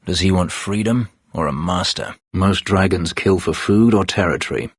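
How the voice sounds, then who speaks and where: A man playing a bitter, brooding escaped slave warrior in a fantasy game, with a gravelly voice and terse, formal, measured delivery. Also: no echo, studio quality. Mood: guarded, grim. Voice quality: low, gravelly, husky. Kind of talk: dramatic fantasy game voice acting.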